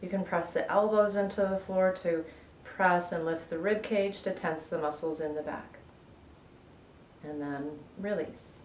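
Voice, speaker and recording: A woman guiding a relaxation meditation in a measured, soothing way, with gentle pauses. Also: fairly low pitch. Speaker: yoga nidra guide. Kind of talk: guided relaxation meditation, instructional narration. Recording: echoey room with faint background noise.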